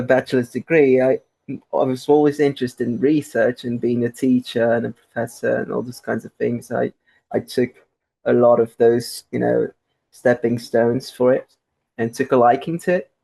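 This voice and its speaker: A man's voice: mid-range voice, fairly even intonation, measured pace, creaky, darkish tone. A young man from Brazil with a Brazilian Portuguese accent, answering interview questions in a conversational way with frequent restarts and fillers, speaking from home on a remote call. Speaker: guest researcher.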